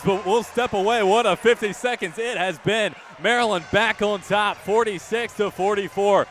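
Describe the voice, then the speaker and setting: A man doing live radio basketball play-by-play, projecting excited, rapid-fire calls. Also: high-pitched. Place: courtside in a basketball arena. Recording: loud arena crowd noise behind. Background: crowd, bouncing ball.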